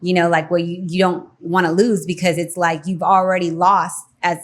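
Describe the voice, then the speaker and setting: A woman's voice: lowish voice, level tone, moderate pace. A young woman from the Philippines hosting a casual interview, chatty and often asking "you know what I'm saying". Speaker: podcast host.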